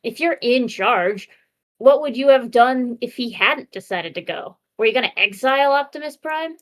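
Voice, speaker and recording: A blunt robot veteran needles with dry sarcasm, voiced by a woman. The pitch is a bit high and the delivery is that of a hobby comic dub. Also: animated, moderate pace, a bit bright. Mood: sardonic. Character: blunt, sardonic male robot veteran medic. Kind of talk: amateur comic dub read-through.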